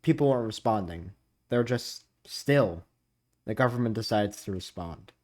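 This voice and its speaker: A man's voice: on the low side, expressive, normal speed. A man hosting a reaction video, reading captions aloud and adding casual commentary.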